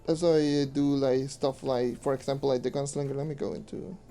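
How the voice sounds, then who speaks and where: Young male streamer, hoarse, rambling casual explainer; dark, mellow timbre over faint background music. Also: medium pitch, fairly even intonation, a little fast. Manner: rambling, loose with fillers, mutters to himself. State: hoarse, losing his voice. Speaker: game streamer. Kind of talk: casual gameplay commentary and Q&A.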